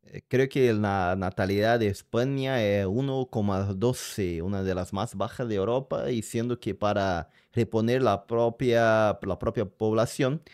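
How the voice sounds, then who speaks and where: A man's voice: on the low side, a little mellow, clean tone, dry recording, clean studio sound. A man whose first language is Portuguese, with a Brazilian accent, chatting casually and hesitantly while reacting to a video and reading the chat. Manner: hesitant, searching for words, reads chat aloud. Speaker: reaction streamer.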